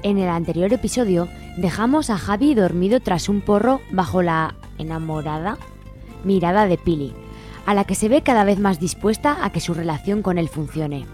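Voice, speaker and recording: A woman reading scripted narration for a radio serial in a wry, measured way. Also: lowish voice, expressive, a little mellow, soft background music.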